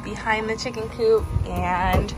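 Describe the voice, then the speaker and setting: A woman's voice: mid-range voice, fairly even intonation, a little slow, low-quality recording. Woman, upbeat home vlog, outdoor phone recording, music underneath. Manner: chatty, upbeat, narrating while filming. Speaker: vlogger. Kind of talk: casual home vlog narration. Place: outdoors in a backyard. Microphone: handheld phone camera. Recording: background music bed.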